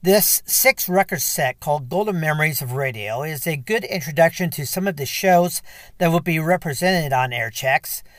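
A man's voice: a little high, expressive, normal speed, a bit bright, no room sound, clean studio sound. A man speaking as a radio show host, reading a scripted introduction to his program.

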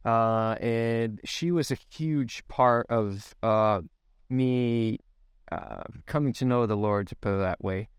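A man's voice: mid-range voice, slow, clean tone, no echo, studio quality. A man in his thirties from Sweden with a Swedish accent, speaking English, reflecting as a podcast guest with many fillers and restarts. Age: 30s. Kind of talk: casual podcast interview.

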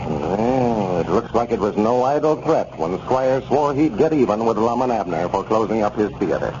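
A man's voice: on the low side, expressive, moderate pace, a little mellow. A man announcing and reading a scripted 1930s radio advertisement. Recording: muffled 1930s radio recording, clear noise.

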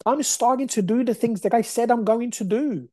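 A man in his forties from Brazil hosts a solo podcast, pushing a motivational message in an emphatic, repeating way. His voice has a mellow, relaxed tone. The room sounds dry and close. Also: very high voice, moderate pace, dry recording. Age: 40s. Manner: emphatic, repetitive, preachy. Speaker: podcast host. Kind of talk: motivational monologue.

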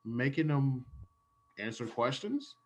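A man playing a bewildered, hesitant school administrator in improv comedy, halting and understated. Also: medium pitch, big pitch swings, heavily acted. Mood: bewildered, uneasy.

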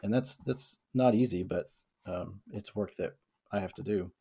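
A man's voice: fairly low pitch, moderate pace, dark tone, husky. A young man from the American South co-hosting a podcast, reading book quotes aloud and reflecting on them with many fillers and restarts. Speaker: podcast co-host. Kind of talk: casual discussion of a book.

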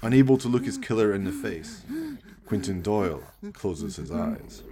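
A man's voice: on the low side, huge pitch swings, normal speed, a crowd in the background. A man giving theatrical, wry scripted narration for a horror radio play. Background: music.

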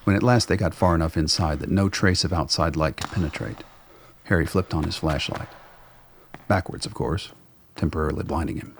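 A man narrating a scripted radio drama in a measured, wry storytelling manner. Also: very deep voice, slight background noise.

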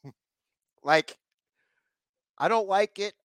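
A man's voice: high voice, slow, fairly bright tone, dry recording. A congested man co-hosting a casual podcast, rambling through a recap and slipping into mocking voices. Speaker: podcast co-host recapping wrestling. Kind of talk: casual chat.

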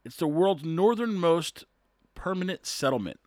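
A man's voice: on the high side, animated, moderate pace, a little mellow, no echo, clean studio sound. A man talking casually on his own and reading an article aloud, chuckling often, rambling and tripping over words.

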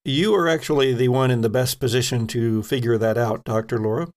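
A man narrating a tabletop role-playing game as game master, in a measured, halting way as he thinks aloud. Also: medium pitch, a little mellow.

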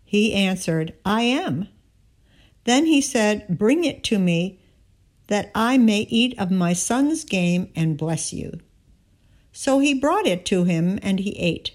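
A woman giving a scripted Bible reading, narrating and voicing the dialogue in deliberate, measured phrasing. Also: medium pitch, animated, darkish tone. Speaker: scripture reader.

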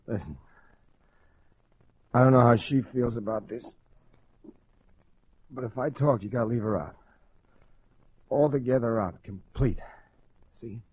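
A man's voice: mid-range voice, unhurried, long pauses, darkish tone, slightly rough. A man playing a cocky, wisecracking small-time gangster and ladies' man in a 1940s radio crime drama, with slangy tough-guy talk. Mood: weary, defiant. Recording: muffled old radio broadcast.

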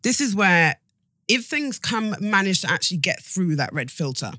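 A woman's voice: on the low side, very animated, moderate pace, pushed and bright, dry recording, studio quality. A woman co-hosting a podcast, explaining things informally and emphatically, repeating phrases and checking that listeners follow. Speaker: podcast co-host. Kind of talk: casual explanatory chat.

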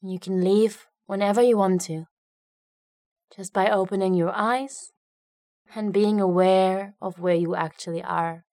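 A woman narrating a guided meditation in a gentle, unhurried way, leaving long pauses between phrases. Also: mid-range voice, steady tone, no room sound, studio quality.

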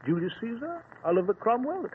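A fussy older gentleman, the detective's earnest companion in a radio play. His voice sits high for a man and blusters in hesitant, old-fashioned phrases. It comes through a thin, noisy vintage recording. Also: very animated, brisk, phone-quality sound. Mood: nervous wonder. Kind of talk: old-time radio drama acting.

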